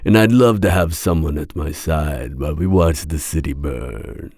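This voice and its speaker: A man playing a masked villain mercenary in an ASMR roleplay, speaking in a formal, deliberate, seductive and menacing way. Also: very deep voice, a little mellow, dry recording, studio quality. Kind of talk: ASMR roleplay audio drama.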